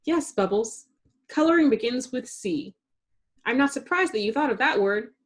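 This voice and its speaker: A woman's voice: a little high, expressive, normal speed, voice pushed up, lightly acted. A woman voicing a very nice, encouraging woman kindergarten teacher in a storybook, patiently questioning her class.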